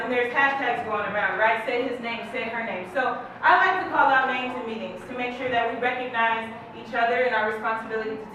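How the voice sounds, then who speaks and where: A woman's voice: on the high side, pushed and bright. A woman from Southern California speaking informally to a community meeting, with many "um"s and "right?" check-ins. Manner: conversational, frequent "um", checks in with "right?". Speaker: community organizer presenting at a meeting. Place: indoor meeting room. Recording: very echoey room, mic far off, background noise.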